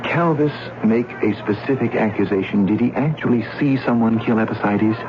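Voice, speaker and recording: An actor playing a grave, dignified Roman master, speaking in a measured, formal old-time radio drama style. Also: male voice, on the low side, dull audio, natural voice. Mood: thoughtful, grateful. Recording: very noisy, band-limited old radio recording.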